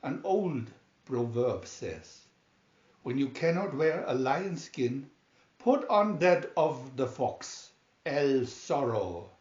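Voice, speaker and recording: Man reading as cunning young gentleman hero, slow, declaiming. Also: fairly high pitch. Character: educated young Spanish Californian gentleman hero, cunning, haughty. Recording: roomy, dull home recording.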